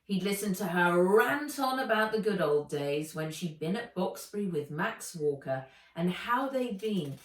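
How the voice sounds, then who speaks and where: A woman author reading a children's adventure story aloud in expressive, deliberate narration. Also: medium pitch. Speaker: author reading their own book. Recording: roomy echo, distant mic.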